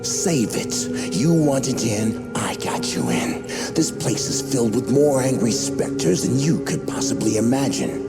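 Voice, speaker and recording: Gruff undead tough guy, slow and menacing, widely swinging pitch, breathy rasp, bitter game-villain edge. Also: expressive. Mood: cold, vengeful. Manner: slow, menacing, sardonic, slangy. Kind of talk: dramatic video game voice acting. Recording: loud music behind the voice.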